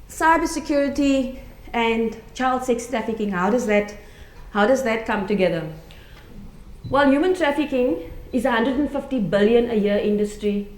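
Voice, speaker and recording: A woman in her forties with a South African accent, giving an earnest conference talk with frequent fillers and restarts. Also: a little high. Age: 40s. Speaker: conference speaker. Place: conference room. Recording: echoey conference room.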